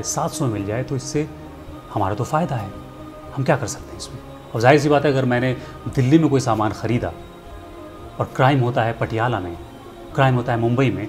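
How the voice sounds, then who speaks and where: Earnest middle-aged man addressing camera. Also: medium pitch. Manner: earnest, persuasive, rhetorical questions to viewer. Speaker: filmmaker and storyteller presenting a commentary segment. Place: indoor studio set. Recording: music bed underneath.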